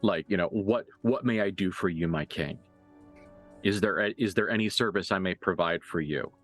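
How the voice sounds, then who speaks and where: A man's voice: low voice, normal speed, fairly bright tone, faint music behind. A man acting as game master, improvising narration for a tabletop roleplaying game in a casual, mildly profane way.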